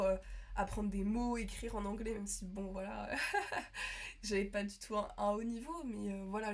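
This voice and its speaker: A young woman from France chatting casually to her viewers, rambling with lots of fillers and restarts. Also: mid-range voice. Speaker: vlogger. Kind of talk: casual chat to camera.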